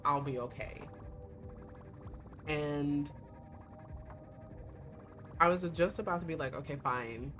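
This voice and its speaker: A young woman chats her way through a livestream in a hoarse, worn-out voice. She rambles along with plenty of fillers, talking into a desk mic. Game music plays clearly underneath. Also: extremely low pitch. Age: young adult. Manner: rambling, chatty, lots of fillers. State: hoarse, voice giving out. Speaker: VTuber streamer. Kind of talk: casual livestream chat while playing a gacha game. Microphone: desk streaming mic.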